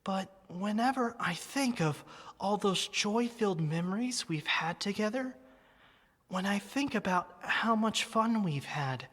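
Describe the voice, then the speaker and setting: Woman as a loving teddy bear, ASMR roleplay, husky breathy softness, wistful, gentle, long reverberant tail. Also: medium pitch, expressive. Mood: wistful, fond. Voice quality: soft, breathy. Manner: slow, tender, sentimental.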